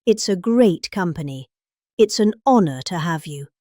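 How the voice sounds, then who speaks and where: A woman's voice: lowish voice, expressive, moderate pace, mellow, no echo, clean studio sound. A middle-aged woman with a British accent, giving a dramatic reading of a political speech. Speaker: reader.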